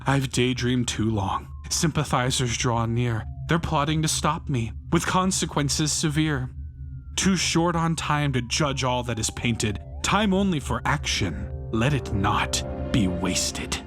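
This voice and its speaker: A man's voice: medium pitch, animated, takes it slowly. A man performing a bitter, self-righteous failed painter turned fanatical vandal in an intense, rhyming dramatic monologue. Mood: frenzied triumph. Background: music behind the voice.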